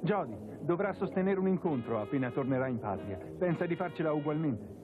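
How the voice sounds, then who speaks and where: An actor playing a formal, self-important young gentleman in a dubbed anime. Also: fairly high pitch, expressive, fairly quick, close to an everyday voice. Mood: polite, confident. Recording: tinny sound, music behind.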